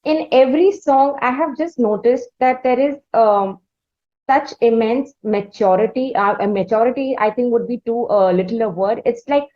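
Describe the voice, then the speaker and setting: A woman hosting a music interview, effusive and rambling, with frequent hesitant pauses. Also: fairly high pitch, warm tone, muffled recording. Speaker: interviewer, host.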